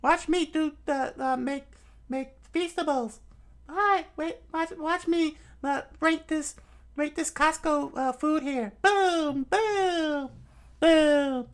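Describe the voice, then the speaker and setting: A man's voice: very high-pitched, pitched above the performer's own voice, heavily acted. A man voicing a manic living baseball that shrieks like a hyper online influencer. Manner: shrieking, rapid-fire.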